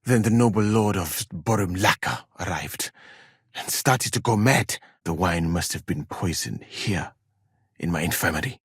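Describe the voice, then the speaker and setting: Courteous, deep-voiced scholar-physician. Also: male voice, slightly rough, no room sound, clean studio sound, heavily acted. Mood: storytelling, composed. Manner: measured, polite, formal, articulate. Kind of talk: video game dialogue acting.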